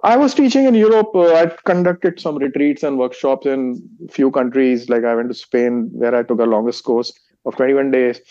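A middle-aged man from India with an Indian English accent, whose first language is Hindi. He answers interview questions as a meditation teacher and author, rambling and halting as he lists examples. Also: mid-range voice, mellow, no echo, highs cut off. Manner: rambling, halting, strings together lists of places.

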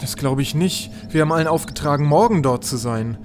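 Fussy, high-pitched professor a man acting him out. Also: fairly quick, over music, natural voice. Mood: calculating. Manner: flustered, questioning. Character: fussy, flustered, know-it-all male professor adventurer. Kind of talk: comic amateur audio drama acting.

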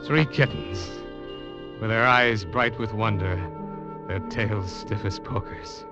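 Male lead, 1940s radio adventure: weary, humbled storyteller, lowish voice, dull recording under loud orchestral music. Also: takes it slowly. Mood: solemn, tender. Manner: dramatic, earnest. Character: weary ship's man humbly narrating his own ordeal. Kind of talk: 1940s radio drama acting, first-person narration.

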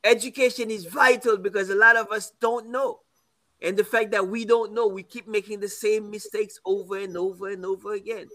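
Man in his fifties, from Haiti, high-pitched, bright tone, halting earnest talk. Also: fairly even intonation. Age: 50s. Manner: halting, repeats words, earnest. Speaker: coffee business owner. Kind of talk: casual interview conversation.

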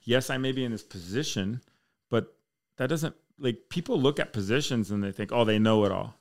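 Laid-back fire chief guy in his 30s, gravelly voice, cussing as he tells old stories. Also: medium pitch, normal speed. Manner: laid-back, swears casually, rambling storyteller. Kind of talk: casual podcast chat.

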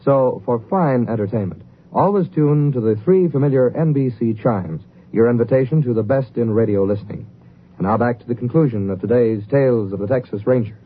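A male 1950s network announcer delivers formal, polished promotional copy. His voice is medium in pitch. The broadcast sound is narrow, with faint noise. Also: brisk.

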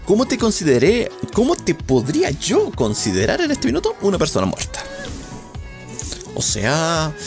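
A man's voice: a little high, gravelly. A man with a Chilean accent, hosting a rambling, self-mocking podcast monologue with lots of swearing. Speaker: podcast host.

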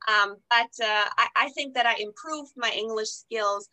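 A woman from Germany with German as her first language, a podcast guest telling her life story in a relaxed way, with many pauses and fillers. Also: a little high, poor audio. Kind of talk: conversational interview.

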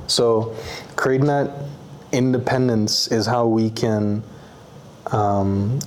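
Soft background noise lies under the voice of a young man, speaking at a middling pitch. He sounds laid-back and slangy, and turns thoughtful as he explains himself in a casual interview. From Bahamas. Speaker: interview guest.

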